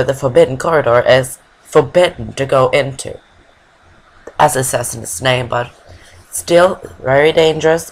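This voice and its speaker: A woman's voice: a bass voice, slight background noise, low-quality recording. A woman giving casual let's play commentary, chatty and explaining each step with plenty of fillers.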